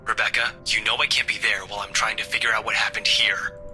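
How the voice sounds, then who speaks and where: Visual novel role, male actor: strained young detective, very bright tense delivery, curt, indignant, defensive. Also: on the low side, little pitch movement, quick pace, faint music behind. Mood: defensive irritation.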